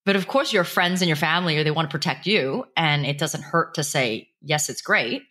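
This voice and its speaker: Low bright lady host, chatty, laughs a lot. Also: moderate pace. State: jet lagged. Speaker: podcast host. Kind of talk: casual chat.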